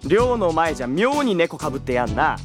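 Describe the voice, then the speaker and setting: An actor playing a brash, hot-tempered young man with rough, slangy, cocky speech in a Japanese audio drama. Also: on the high side, big pitch swings, a little fast, music in the background. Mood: cocky, grumbling.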